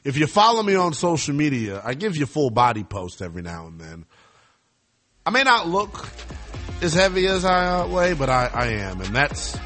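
A man's voice: on the high side, moderate pace, soft background music. A man hosting a sports talk radio show from a studio, joking and boasting in playful banter. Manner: jokey, boastful, animated.

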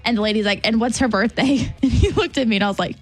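A woman's voice: on the high side, fast talker, faint music behind. A woman hosting a radio show, chatting casually and telling entertainment news stories. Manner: chatty, animated storyteller. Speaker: radio host. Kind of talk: casual morning radio chat.

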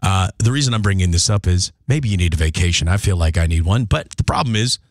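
A man's voice: sits low, lively intonation, fast, no room sound, studio quality. A man hosting a radio morning show, chatting and joking casually with his co-host and laughing often. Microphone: studio microphone.

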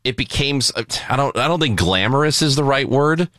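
Animated bright-voiced male radio co-host. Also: medium pitch, brisk, no echo, clean studio sound. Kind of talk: casual banter on a talk radio show. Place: radio studio. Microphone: close studio broadcast microphone.

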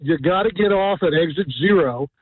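A man's voice: sits high, husky, no room sound. Rambling man caller, dry phone line. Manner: halting, rambling, many fillers. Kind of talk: phone-in chat. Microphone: telephone.

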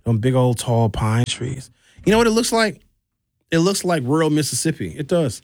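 A middle-aged American man hosting a radio talk show in an informal, opinionated storytelling style, colloquial and animated, on a close studio mic. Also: a little high, normal speed, no echo. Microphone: close studio microphone.

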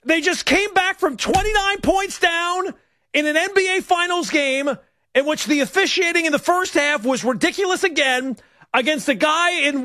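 Middle-aged male sports-talk host, very high bright voice, emphatic, dry close mic. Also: dry recording. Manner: excitable, emphatic, mock-indignant.

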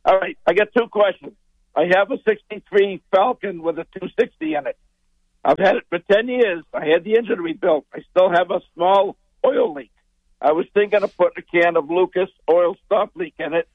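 Hoarse man calling in on a phone line. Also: high voice, normal speed, dry recording. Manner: plain, direct questioning. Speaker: caller.

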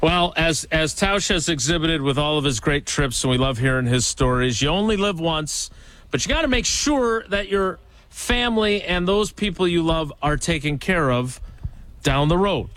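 A man's voice: on the high side, expressive, moderate pace, a bit bright, no room sound, low-quality recording. A man hosting a radio show, steering segments and reading an ad read, with some stumbling restarts. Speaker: radio host.

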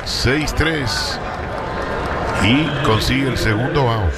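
A man's voice: medium pitch, takes it slowly, a loud crowd, heavy background noise. A man calling a baseball game on radio, chatting with his partner between plays and trailing off with fillers. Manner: relaxed banter between calls, trailing fillers. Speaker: play-by-play announcer. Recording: radio broadcast with music under.

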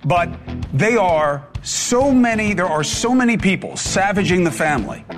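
Brief: male opinion host, blunt and emphatic, fairly high voice, soft music behind. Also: expressive, normal speed. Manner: blunt, emphatic, talks straight to the audience. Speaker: commentary show host. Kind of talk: opinion commentary.

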